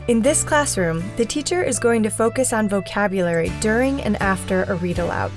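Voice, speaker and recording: A woman's voice: mid-range voice, expressive, fairly quick. A woman reading scripted narration for an educational video. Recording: prominent music bed under voice.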